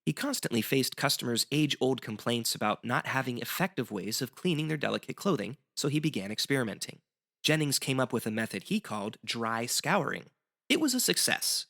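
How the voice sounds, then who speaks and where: A man with a bright, lively voice that dips into a creaky edge. He explains history as a show guest, articulate and wry, in the manner of scripted educational banter. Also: medium pitch, brisk.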